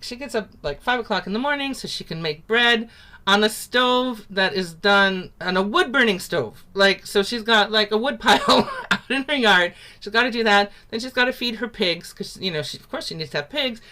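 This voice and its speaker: Chatty, bright-voiced woman teaching singing from home. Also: mid-range voice. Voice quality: naturally loud voice. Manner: chatty, upbeat, lots of "like" and "um". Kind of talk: casual online singing lesson. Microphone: computer mic on a video call.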